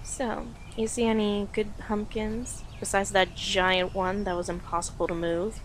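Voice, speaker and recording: A woman's voice: medium pitch, flat delivery, a little hiss or hum, natural voice. A woman playing a playful, affectionate young girlfriend on a date in an intimate, conversational audio roleplay, with a teasing manner. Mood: casual, teasing. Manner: teasing, giggly, conversational with pauses.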